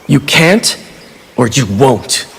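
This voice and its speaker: An actor playing a sincere, wounded young orphaned warrior, earnest and expressive, in a video game. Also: male voice, medium pitch, slow, creaky, husky, heavily acted. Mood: earnest, hurt. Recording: long reverb, loud music behind.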